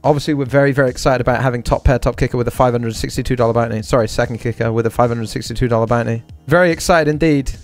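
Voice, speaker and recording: A man's voice: mid-range voice, fairly even intonation, racing, hardly any pauses, soft background music. A man hosting a poker stream, talking through each hand aloud and repeating short catchphrases. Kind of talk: live poker stream commentary.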